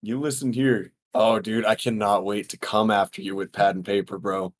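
Hoarse young dude ribbing his buddy, swears a lot, brags and gets loud. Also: lowish voice, flat delivery, moderate pace. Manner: loud, swearing, playfully boastful. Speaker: podcast co-host. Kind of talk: casual banter between friends.

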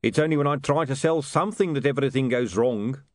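A man's voice: mid-range voice, fast talker, darkish tone, no room sound, studio quality, voice pushed up, lightly acted. A man voicing a cheerfully unscrupulous middle-aged street huckster in an audiobook, matey, wheedling and full of excuses. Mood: eager.

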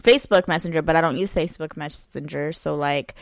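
Lower-voiced young woman telling stories. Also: fairly quick, gravelly, no echo, clean studio sound. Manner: animated, lots of "like", acts out quotes. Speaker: podcast co-host. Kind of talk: casual storytelling chat.

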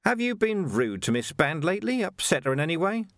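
Male reader as a wry, dry middle-aged police chief, lively inflection. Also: on the high side, a little fast, no echo, voice pushed up.

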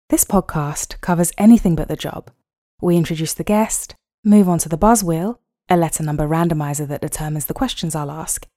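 Brief: lively female podcast host, somewhat low voice, close dry recording. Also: dry recording. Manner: upbeat, playful, scripted-sounding intros. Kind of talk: hosting a tech-career podcast interview.

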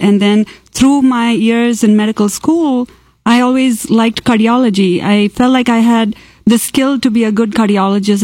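A woman from Kerala whose first language is Malayalam, a cardiologist answering interview questions in careful, measured sentences. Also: fairly high pitch, darkish tone, no room sound, low-quality recording. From Kerala, India. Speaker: cardiologist guest. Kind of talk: radio interview.

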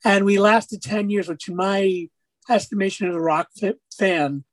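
A man with a raspy speaking voice, a musician guest on an interview, talking casually and rambling through stories with lots of fillers. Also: sits high, fairly even intonation, moderate pace, no echo. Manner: rambling storyteller, many fillers.

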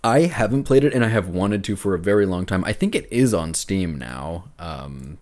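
A man's voice: low voice, lively intonation, normal speed, darkish tone. A man co-hosting a podcast, chatting casually about video games in an informal, bantering way. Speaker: podcast co-host.

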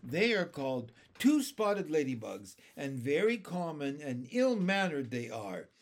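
Storybook ladybug, female, played by a man: high-pitched, slow, genteel, chatty, quietly snobbish. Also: very animated, heavily acted. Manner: modest yet snobbish, genteel.

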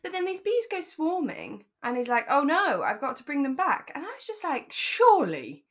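A woman's voice: high-pitched, big pitch swings, moderate pace, a bit bright. Chatty, giggly British woman. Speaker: podcast co-host. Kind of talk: relaxed chat about a radio drama.

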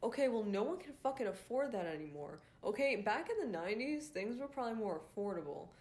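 A woman's voice: a little high, very animated, fast talker, a little mellow. A young woman from Rhode Island hosting her own podcast, ranting casually with a nasal, congested voice, full of fillers and swearing. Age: young adult. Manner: frustrated, swears often, many fillers. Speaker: podcast host.

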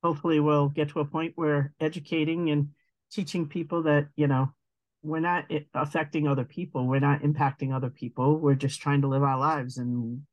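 A woman with a deep voice, a guest in an interview, speaking earnestly and thoughtfully in long winding sentences. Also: steady tone, fairly quick, dry recording, dull audio. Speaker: guest, advocate. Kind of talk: reflective interview conversation.

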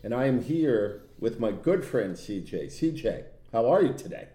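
A man co-hosting a podcast in casual, bantering chat with a loud, animated voice and a roomy, echoey sound. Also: mid-range voice, normal speed, faint music behind. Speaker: podcast co-host. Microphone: own podcast microphone.

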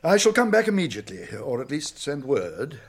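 Husky old British wizard, stern. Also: male voice, mid-range voice, wildly swooping pitch, heavily acted. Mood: grave, urgent. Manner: authoritative, deliberate, brisk questioning. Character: old wizard, stern, shrewd, commanding yet kindly. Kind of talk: British radio drama acting.

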